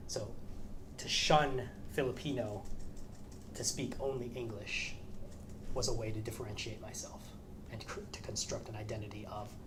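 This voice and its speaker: A man's voice: on the low side, steady tone, normal speed, background noise. A man in his thirties from the American Midwest, answering audience questions after a lecture, thoughtful and hesitant with many restarts. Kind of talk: academic Q&A talk. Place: lecture room, standing.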